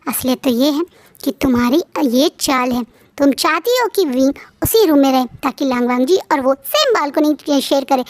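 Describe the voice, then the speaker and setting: A woman voicing a haughty, scheming wealthy woman who shouts accusations in a fit of fury. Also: high voice, no echo. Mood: accusing.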